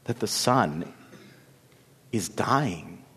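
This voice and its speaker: A meek little lily from a storybook, voiced by a man, sorrowful and devoted. The delivery is soft, slow and plaintive, hanging in a long hall reverb. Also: on the high side, very animated, slightly rough, heavily acted. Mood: whispered sorrow. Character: small, meek, sorrowful young lily flower, devoted and stubborn.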